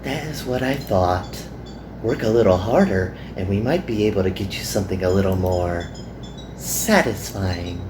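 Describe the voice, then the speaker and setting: A man's voice: sits low, moderate pace, close to an everyday voice. Guy doing a flirty bossy anime magical woman, drawls her taunts, condescending, echoey with some noise behind. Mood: commanding, teasing. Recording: roomy echo, some background noise.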